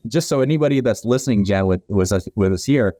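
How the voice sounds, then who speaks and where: Male guest in his forties: low, mellow, easygoing and chatty, recorded dry and close. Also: lively intonation, quick pace, dry recording. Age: 40s. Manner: friendly, rambling with restarts. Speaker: guest, company founder. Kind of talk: casual interview answers.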